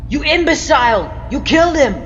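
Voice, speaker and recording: A woman's voice: high voice, expressive, a little fast. Woman as indignant Time Lord heroine, accusing, dramatic, audio drama, long echoing hall, dull noisy sound. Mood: angry, anguished. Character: indignant, righteous female Time Lord hero confronting a villain. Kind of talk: fan audio drama acting.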